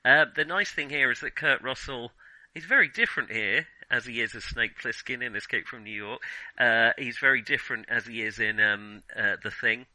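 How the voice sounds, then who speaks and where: A middle-aged man in a casual unscripted film discussion as a podcast co-host, often halting and correcting himself mid-thought. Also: mid-range voice, sharp tone, no room sound, rough recording. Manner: halting, self-correcting, trailing restarts.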